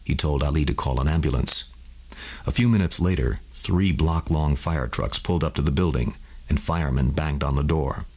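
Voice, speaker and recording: A man with a husky voice narrating a scripted bedtime story for adults. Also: a bass voice, normal speed, darkish tone.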